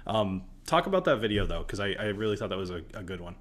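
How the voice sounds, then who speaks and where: A man's voice: lowish voice, big pitch swings, fast talker, crowd noise. A man in his thirties hosting a live stream in a casual, rambling, jokey conversational way. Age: 30s. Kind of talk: casual chat about whiskey on a live stream.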